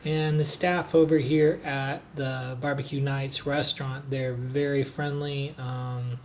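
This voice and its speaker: An easygoing man talks through a travel vlog in an unhurried, conversational tone at a middle pitch. He drifts along with plenty of small fillers as he shows places off, and his voice-over was recorded on the road in a noisy city. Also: little pitch movement. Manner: easygoing, chatty, frequent filler words. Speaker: travel vlogger, hotel reviewer. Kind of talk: casual travel vlog narration.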